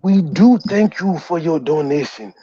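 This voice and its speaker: A man's voice: a little high, expressive, a little slow, dark tone. A man hosting a livestream, bantering in a mock-exasperated, teasing way. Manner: mock-exasperated, teasing, repeatedly addressing "ma'am". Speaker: livestream host and commentator. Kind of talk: bantering livestream commentary in a put-on comic accent. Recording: dull lo-fi roomy stream audio.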